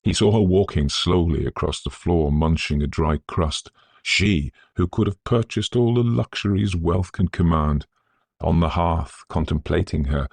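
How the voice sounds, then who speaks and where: Male narrator for Victorian supernatural fiction: bottom-of-the-range bass, husky grain, scholarly and atmospheric, deliberate phrasing. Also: no room sound. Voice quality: gravelly, husky. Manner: scholarly, measured, atmospheric delivery. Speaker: narrator of a Victorian ghost story. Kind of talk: scripted dramatic audiobook narration.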